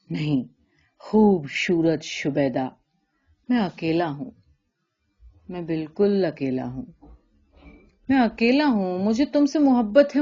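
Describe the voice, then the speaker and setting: A woman voicing a drunken, lonely, self-reproaching man in a story, with slurred, drawn-out, repeated words between laugh and sob. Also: lowish voice, warm tone, lo-fi sound. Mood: pleading, desperate.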